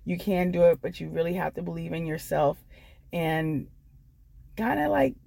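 A woman's voice: lowish voice, lively intonation, moderate pace, gravelly, mellow, dry recording. A woman with an American accent telling her own story off the cuff, speaking in an earnest, encouraging manner. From Washington D.C. area, United States. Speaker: guest storyteller, comedian and actress. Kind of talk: unscripted personal storytelling.

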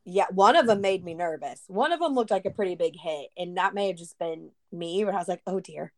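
A woman's voice: on the low side, brisk. A woman co-hosting a casual sports podcast, chatty with jokey asides. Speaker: podcast co-host.

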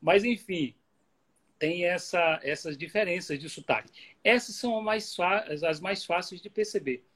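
A man's voice: high-pitched, moderate pace, a bit bright. A man from Bahia whose accent has picked up a Pernambuco tone, explaining at length in a casual conversation, heard over a video call. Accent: Bahian Portuguese mixed with Pernambuco. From Bahia, Brazil. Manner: explanatory, thinks aloud with restarts. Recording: video call audio with lag.

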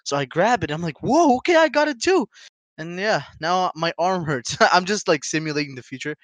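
A man's voice: high-pitched, lively intonation, a little fast, fairly bright tone, no echo. A man in his twenties co-hosting a casual podcast, rambling with restarts, laughing often and swearing freely, English not his first language. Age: 20s. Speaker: podcast co-host.

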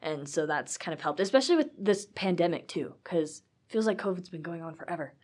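A young woman talking in a chatty, emphatic way, co-hosting a relaxed conversation. Her voice sits a little low for a woman. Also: fairly quick. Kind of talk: casual conversation.

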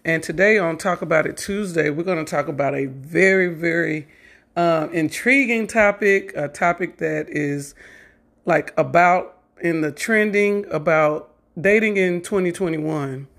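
Upbeat lady hosting a podcast chat, lots of quick okays and uh-huhs. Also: lowish voice. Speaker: podcast host. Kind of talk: casual interview chat.

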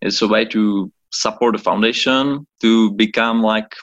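Low-voiced man, hesitant. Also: steady tone, unhurried, a bit bright, dull audio. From Czech Republic. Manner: hesitant, searching for words, apologetic. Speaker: guest, chief of staff. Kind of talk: podcast interview. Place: office in Prague, on a call.

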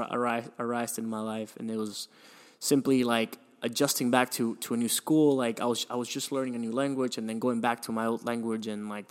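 A young man from Venezuela hosting a podcast, telling his life story in a reflective, hesitant way with frequent restarts and sighs. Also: medium pitch. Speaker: podcast host.

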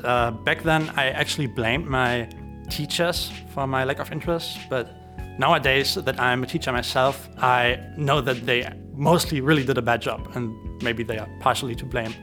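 Male teacher, German first language, thoughtful and careful answers. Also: mid-range voice. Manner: measured, hesitant with many fillers. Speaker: teacher being interviewed.

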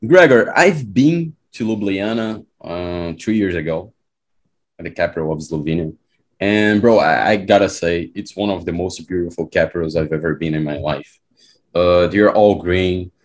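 A man's voice: low voice, moderate pace. A man hosting a podcast in loose, enthusiastic casual chat, calling his guest bro and man. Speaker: podcast host. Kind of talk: casual conversation.

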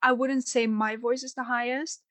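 A woman's voice: fairly high pitch, little pitch movement, moderate pace, fairly bright tone, dry recording. A young woman co-hosting a podcast, talking casually with lots of fillers and restarts. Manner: rambling, many fillers and restarts. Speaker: podcast co-host.

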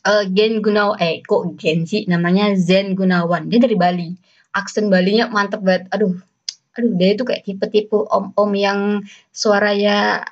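A young woman from West Kalimantan with a mixed Malay and Javanese accent, hosting a casual solo podcast in a chatty, giggly, rambling way. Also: medium pitch. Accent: Malay-tinged Indonesian with Javanese roots. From West Kalimantan, Indonesia. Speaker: podcast host. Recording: dull lo-fi sound.

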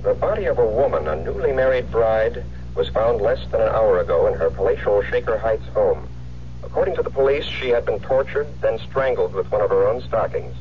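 A man's voice: fairly low pitch, expressive, brisk. An actor playing a sober male newscaster reading an urgent bulletin, formal and clipped, radio-filtered. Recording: tinny radio filter with faint hiss.

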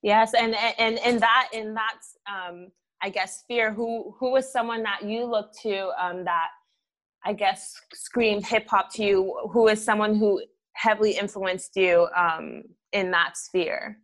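A woman's voice: medium pitch, fairly even intonation, unhurried, fairly bright tone. A woman in her twenties leading a casual video-call discussion, thoughtful and hedging with frequent ums. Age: 20s.

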